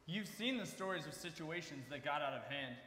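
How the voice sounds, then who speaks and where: A young man giving a forceful, declamatory readers theater recitation as a student performer. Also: a little high, lively intonation, a little fast, a little hiss or hum. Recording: very echoey reverberant hall.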